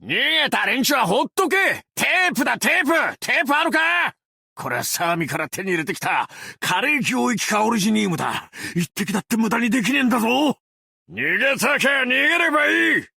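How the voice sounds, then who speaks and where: A man playing a brash, cocky explosives fanatic with a tail in an anime game, talking in rough, swaggering slang with a gravelly voice. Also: very high-pitched, big pitch swings, brisk, pushed and bright, no room sound, studio quality, full character voice. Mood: manic, excited. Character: brash tailed male explosives fanatic, cocky bureau officer. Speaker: game character voice lines. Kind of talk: Japanese anime game voice acting.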